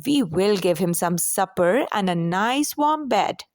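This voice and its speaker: Woman reading as a kindly, animated children's-home matron. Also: mid-range voice, unhurried, no room sound, clean studio sound, lightly acted. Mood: reassuring. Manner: kindly, welcoming, surprised.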